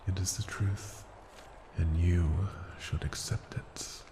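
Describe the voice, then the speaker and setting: A man voicing an ancient male dragon in an ASMR fantasy roleplay, possessive, seductive and condescending, breathy and often sinking into a whisper. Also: sits low, steady tone. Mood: condescending, commanding. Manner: slow, deliberate, teasing endearments. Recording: roomy echo, noisy ambience.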